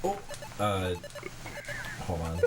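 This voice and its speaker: A man's voice: deep voice, very animated, very slow, crowd noise, poor audio. A man streaming, chatting casually with friends on a voice call, laughing often and protesting when teased.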